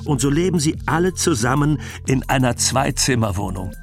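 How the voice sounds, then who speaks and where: A man's voice: medium pitch, lively intonation, moderate pace, gravelly. A man playing a frank German husband in a documentary radio play, talking colloquially and matter-of-factly, as in a monologue. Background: music.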